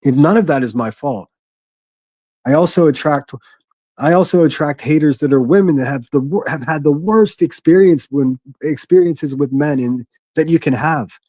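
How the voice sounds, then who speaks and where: A man with a gruff voice hosting a solo livestream from his quiet living room, reading chat and speaking bluntly with frequent restarts. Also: a little high, normal speed, dry recording. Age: adult, a military veteran. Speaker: livestream host.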